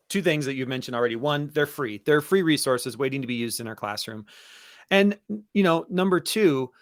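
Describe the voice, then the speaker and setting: Higher-pitched man, conversational presenter. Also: a bit bright, no room sound, clean studio sound. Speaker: webinar co-host, educator. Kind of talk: conversational, enthusiastic webinar talk.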